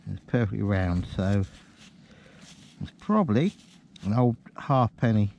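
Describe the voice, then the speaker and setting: A man's voice: on the low side, animated, unhurried, vocal fry, darkish tone. Matey man, noisy windy recording. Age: middle-aged. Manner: informal, colloquial, matey. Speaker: metal detecting vlogger. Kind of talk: casual vlog chat and commentary. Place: open field outdoors. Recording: windy outdoor noise on action camera.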